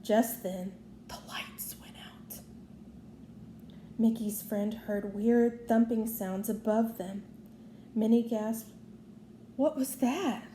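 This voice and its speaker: A woman's voice: medium pitch, little pitch movement, slow, pauses often, mellow. A woman reading a children's story aloud as narrator. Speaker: storybook reader. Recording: roomy echo, faint background noise.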